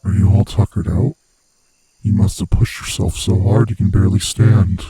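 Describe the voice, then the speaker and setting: A man voicing a very husky, deep predatory monster. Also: level tone, dark tone, dry recording, heavily acted. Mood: smug, possessive. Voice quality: husky, breathy, rasping low voice. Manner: slow, menacing, theatrically taunting. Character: predatory male monster hunting human prey, gloating, sinister. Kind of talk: horror ASMR roleplay addressed to the listener.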